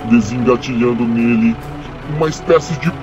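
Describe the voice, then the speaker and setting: A man with a Brazilian accent narrating a scripted horror story in a deliberate, dramatic way with pauses. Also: mid-range voice. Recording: dull audio under loud music.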